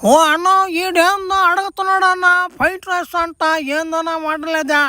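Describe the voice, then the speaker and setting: A woman as a squeaky, fast cartoon henchman. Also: fairly even intonation, sharp tone, dry recording. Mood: complaining. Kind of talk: crude comic cartoon dubbing. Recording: music behind the voice.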